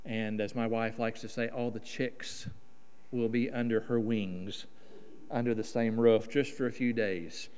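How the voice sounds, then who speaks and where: A middle-aged man with a Southern drawl from Oklahoma preaches a sermon in a folksy, emphatic way, stretching his vowels. His voice is smooth and resonant. It rings out with a long reverb through a church hall. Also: mid-range voice, fairly even intonation, measured pace, a little mellow. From Oklahoma, USA. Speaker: guest preacher. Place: church sanctuary.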